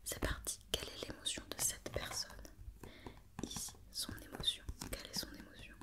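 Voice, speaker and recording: A woman whispering softly in an ASMR roleplay as a company doctor, gently reassuring and giving step-by-step instructions. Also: moderate pace. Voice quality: soft unvoiced whisper.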